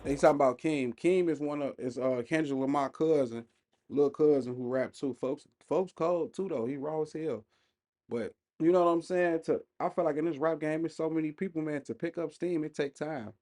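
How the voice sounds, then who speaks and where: Mellow slangy male reaction host. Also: on the high side, moderate pace. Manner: slangy, emphatic, addresses viewers directly. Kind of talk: casual off-the-cuff reaction commentary.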